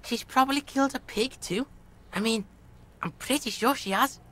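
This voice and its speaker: A woman's voice: a little high, normal speed, a bit bright, poor audio. A woman voicing a foul-mouthed, cocky young street boy in a game, brash and sneering. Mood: uneasy bravado. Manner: brash, sneering, speaks of self in third person. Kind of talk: video game character acting.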